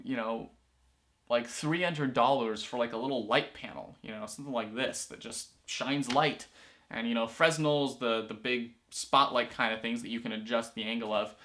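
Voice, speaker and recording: A man's voice: mid-range voice, fairly bright tone. A teenage boy talking casually to camera in a small room, rambling with lots of fillers and restarts. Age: teens. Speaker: student filmmaker and vlogger. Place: small room, indoors, in a corner.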